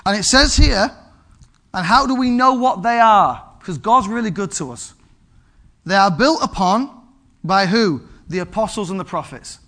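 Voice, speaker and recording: A man, preaching an animated sermon to his congregation and calling out for amens. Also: high voice. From England. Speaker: pastor.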